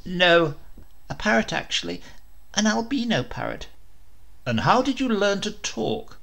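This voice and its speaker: A man's voice: high voice, sing-song, gravelly, fairly bright tone, voice pushed up, exaggerated voice. Man doing a blustering talking parrot. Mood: chatty. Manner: blustering, quick to bluff. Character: boastful scheming talking parrot, male. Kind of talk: comic storybook reading.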